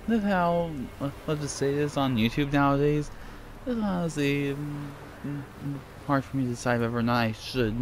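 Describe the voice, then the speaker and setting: A man's voice: medium pitch, a little hiss or hum. A man with a mild British-style accent, chatting in a rambling, self-conscious way as he plays and reads aloud. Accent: mild British-style accent picked up from TV. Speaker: let's play gamer. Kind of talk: casual gameplay commentary and reading aloud. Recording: faint game music behind.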